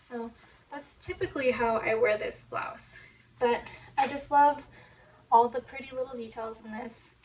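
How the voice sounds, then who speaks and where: A woman with a hoarse, recovering voice chats casually about her sewing in a soft, rambling way, full of "like" and "um". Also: fairly high pitch, recorded from a distance, a little hiss or hum, poor audio. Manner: soft-spoken, rambling, many "like" and "um" fillers. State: hoarse, voice still recovering from laryngitis. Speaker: sewing vlogger. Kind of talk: casual chat to camera. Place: home sewing room. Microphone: phone camera.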